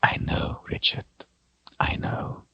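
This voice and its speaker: A gentle old wizard, as a male narrator puts him on, soft-spoken and unhurried, often dropping to a near whisper. His tone stays patient and steady. It is a dull, dry recording. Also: deep voice, creaky, slightly rough, no echo, pitched above the performer's own voice, full character voice. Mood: tender.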